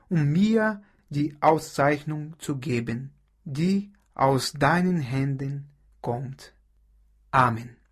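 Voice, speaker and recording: A Brazilian pastor speaks with a slightly raised pitch and a husky, breathy edge. He preaches in a calm, unhurried radio devotional, his Brazilian Portuguese accent shaping every word. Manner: slow, measured preaching with prayer.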